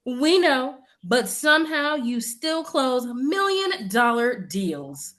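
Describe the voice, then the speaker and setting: A woman's voice: sits high, sing-song, slow pace, a bit bright, heavily acted. A woman playing a teasing, sardonic office colleague in an audio play.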